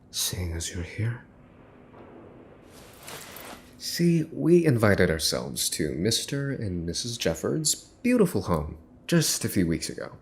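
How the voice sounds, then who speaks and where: A man's voice: lowish voice, a little mellow, a little hiss or hum, pitched above the performer's own voice, full character voice. A man playing a mafia boss's son in an ASMR roleplay, smug, teasing and menacing, with a mocking theatrical drawl. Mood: smug menace. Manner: mocking, theatrical drawl with mock-cute wording. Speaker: voice actor.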